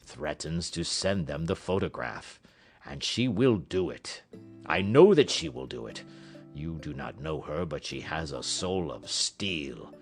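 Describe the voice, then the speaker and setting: A man voicing an anxious, proud king in a grave, formal, deliberate manner, in an audiobook story. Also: very deep voice, expressive, faint music behind, pitched below the performer's own voice, full character voice. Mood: grave, insistent.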